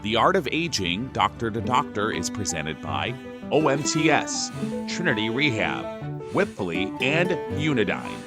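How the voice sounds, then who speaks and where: A man reads sponsor credits in a lively, rising-and-falling announcer cadence, drawing out each name. Loud guitar music plays right behind him. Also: lowish voice, unhurried.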